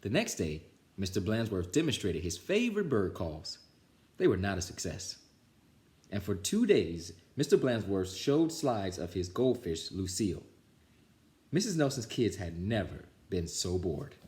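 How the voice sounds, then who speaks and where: A man with a fairly low voice reads a children's story aloud. His pitch swings very widely as he goes, and the reading is expressive, with the odd small stumble. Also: a little slow. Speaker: storytime reader. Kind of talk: children's picture book read-aloud.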